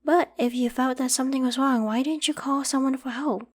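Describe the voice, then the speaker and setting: A woman voicing a polite, protective young female werewolf with a secret, in a gentle, reassuring ASMR roleplay. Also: high-pitched, fairly even intonation, a little fast. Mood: caring, inquisitive. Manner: gentle, reassuring, hesitant when evasive. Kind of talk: ASMR roleplay, acted one-sided conversation.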